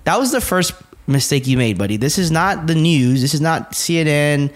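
A man's voice: fairly high pitch, lively intonation, normal speed. A young man with a slight Mexican accent, co-hosting a casual podcast and ranting bluntly, swearing freely. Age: young adult. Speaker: podcast co-host.

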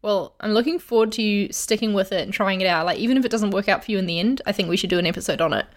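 A woman's voice: medium pitch, very fast. A woman co-hosting a casual podcast, friendly and enthusiastic, explaining things step by step. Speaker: podcast co-host.

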